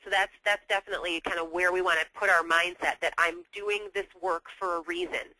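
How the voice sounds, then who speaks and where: Very bright, sharp-voiced American woman. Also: lowish voice, steady tone, a little fast, no echo, low-quality recording. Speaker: co-presenter, sales coach. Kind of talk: conversational advice.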